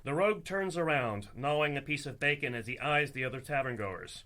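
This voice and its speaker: A man's voice: medium pitch, fairly quick, bright, forceful tone. A man hosting as dungeon master, narrating a scripted fantasy comedy in a theatrical storytelling style.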